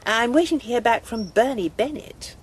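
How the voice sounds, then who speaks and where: A woman's voice: on the high side, moderate pace, breathy edge. An actress playing a fussy, theatrical older village committee woman in a radio drama, precise and long-suffering. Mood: polite, guarded. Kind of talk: naturalistic radio drama acting.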